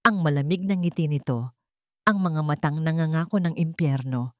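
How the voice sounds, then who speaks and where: A woman's voice: low-pitched, moderate pace, no echo, studio quality. A woman reading scripted narration for a dramatic story, as its storyteller.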